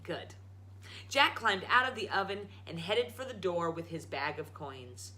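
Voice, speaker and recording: A woman storyteller reading a children's story aloud in a dramatic, emphatic, expressive way. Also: fairly low pitch, measured pace. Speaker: storyteller, show host.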